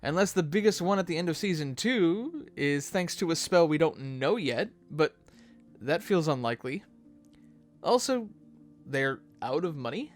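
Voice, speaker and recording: A video host giving casual, expressive conversational commentary. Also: medium pitch, unhurried, soft background music.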